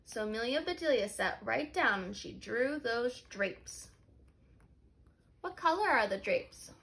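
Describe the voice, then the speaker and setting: Animated, high-voiced woman reading to children. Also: measured pace, creaky. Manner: simple phrasing, asks listeners questions and confirms answers. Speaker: life skills teacher. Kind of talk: children's story read-aloud.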